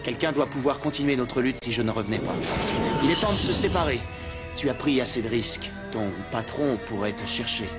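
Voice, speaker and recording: A man's voice: mid-range voice, expressive, brisk, dull audio, loud music behind the voice, lightly acted. A man playing a heroic cartoon rebel leader, earnest, decisive and dramatic. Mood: resolute. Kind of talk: French cartoon dub acting.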